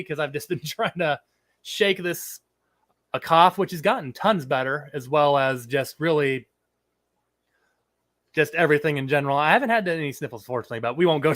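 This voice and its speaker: A man with a fairly high voice hosts a relaxed live stream, his throat a touch scratchy from a cold. He rambles easily and stops to greet viewers from the chat. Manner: chatty, rambling, reads chat aloud. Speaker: livestream host.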